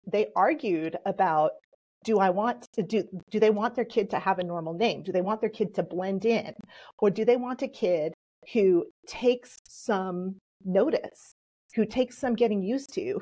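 A woman whose first language was Polish speaks in a measured, explanatory way as a podcast guest. Her voice sits at a middle pitch for a woman. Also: dark tone. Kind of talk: relaxed interview answers.

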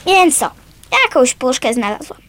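A female performer playing a stubborn, precocious runaway girl in a radio play, with a blunt, childlike and expressive way of talking. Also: high-pitched, normal speed. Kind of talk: naturalistic Polish radio play acting.

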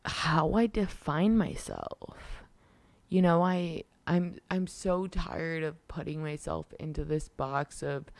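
A woman in her twenties hosting a solo podcast, hoarse from losing her voice, talking candidly and rambling with lots of fillers and restarts. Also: sits low, darkish tone, dry recording. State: hoarse from a lost voice. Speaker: podcast host. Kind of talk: casual solo podcast talk.

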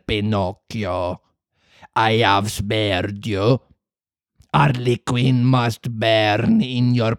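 A man voicing a gruff, volatile male puppet-theatre master with a slow, hoarse growl. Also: mid-range voice, dry recording, studio quality.

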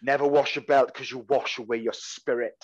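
A man's voice: mid-range voice, fairly even intonation, brisk. A man with a Welsh accent co-hosting a casual podcast, clipping his words short and swearing freely. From Wales. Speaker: podcast co-host. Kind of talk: casual chat. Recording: slightly dull lo-fi sound.

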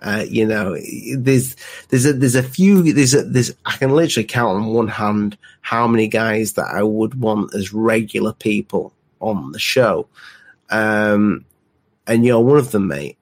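A man's voice: lowish voice, a little slow. A man of about forty hosting a live podcast, chatting bluntly with jokes and swearing. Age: around 40. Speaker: podcast host. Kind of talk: casual live-stream chat.

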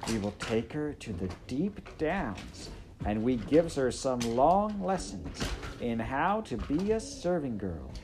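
A man voicing a loud, dim-witted, eager serving girl from a storybook, with uneducated rustic grammar and blurted exclamations. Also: medium pitch, background noise, voice pushed up. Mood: gleeful. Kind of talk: storybook character voice in audiobook narration.

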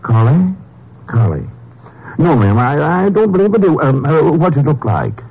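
Folksy, higher-voiced druggist, muffled radio. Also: male voice, very animated, husky, full character voice. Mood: folksy, helpful. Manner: folksy, courteous, slightly halting. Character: kindly, helpful small-town Texas druggist, long in business. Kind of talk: 1950s radio drama acting. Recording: dull, lo-fi old-time radio recording.

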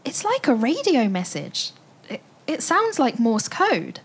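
A woman narrating a children's audio play as a storyteller, expressive and full of wonder, addressing listeners directly. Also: sits high, unhurried, breathy edge. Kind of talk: dramatic scripted children's storytelling. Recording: loud music and effects behind.

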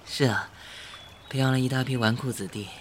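An actor playing a young male college freshman in a Chinese audio drama, wry, quick to bristle and privately wistful, speaking colloquially. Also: mid-range voice, steady tone, a little hiss or hum, lightly acted. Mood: curious, wry. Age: young adult.

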